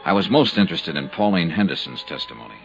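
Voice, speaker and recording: Wry radio private eye. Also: male voice, low voice, lively intonation, fast talker, creaky, fairly bright tone, exaggerated voice. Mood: dry. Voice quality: dry, wry narration. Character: wry male insurance investigator narrating. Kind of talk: 1950s radio drama acting. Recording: dull old radio recording with music.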